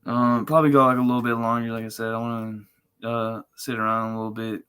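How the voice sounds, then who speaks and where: Monotone, laid-back man chatting on stream. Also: medium pitch, fast talker, clear, resonant tone. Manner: slangy, rambling. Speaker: live streamer. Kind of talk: casual livestream chat.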